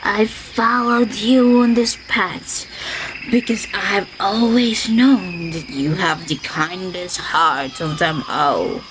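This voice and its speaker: Radio play: woman as weak, kindly old witch. Extremely slow, halting delivery, noisy outdoor ambience. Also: mid-range voice. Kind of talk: student radio play acting.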